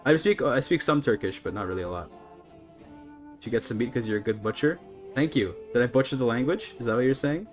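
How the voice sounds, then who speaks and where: A man's voice: mid-range voice, lively intonation, a little fast, faint music behind. A Canadian man chatting casually to his livestream audience, rambling and apologetic as he goes. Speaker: live streamer. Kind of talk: casual gaming livestream chat.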